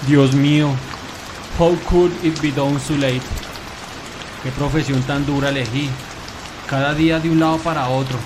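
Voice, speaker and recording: A man's voice: on the high side, fairly even intonation, measured pace, long pauses, close to an everyday voice. A man playing an anxious, apologetic young salesman in an amateur radio play, with rain-like hiss. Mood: dismayed.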